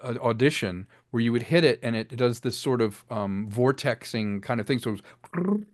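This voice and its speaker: A man's voice: medium pitch, animated, normal speed, darkish tone, dry recording, studio quality. A man speaking casually as an audio drama director, explaining his work with illustrative enthusiasm in an interview.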